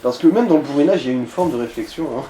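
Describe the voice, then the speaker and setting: Casting: man, soft mellow tone, laid-back let's play sidekick, echoey room. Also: on the high side, lively intonation, rapid-fire, distant mic. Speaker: let's play co-commentator advising the player. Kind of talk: casual gaming commentary, chat. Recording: roomy echo, clear background noise.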